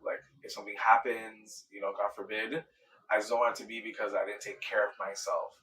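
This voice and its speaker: A man's voice: lowish voice, level tone, brisk, pushed and bright, distant mic, a little hiss or hum, low-quality recording. A man in his thirties from Miami, a dancer and choreographer, answering interview questions in an earnest, enthusiastic way and often repeating himself. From Miami, Florida, USA. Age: 30s.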